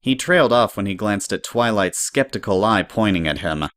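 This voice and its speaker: Casting: storybook narrator, extremely low voice, close and dry. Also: animated, normal speed, fairly bright tone, dry recording.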